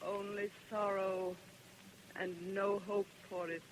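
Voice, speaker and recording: An actress playing a newly dead woman risen to prophesy, sorrowful and solemn, in halting radio verse. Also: mid-range voice, level tone, natural voice. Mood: mournful, solemn. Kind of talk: 1930s radio verse drama acting. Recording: bright, noisy old radio recording.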